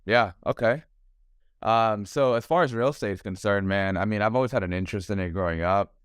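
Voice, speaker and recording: A man's voice: lowish voice, brisk, smooth, no echo, studio quality. A young man, a realtor born in Thailand and raised in the US, chatting casually as a podcast guest on a video call, tossing in "man" and "bro" a lot. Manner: relaxed, chatty, says "man" and "bro" often.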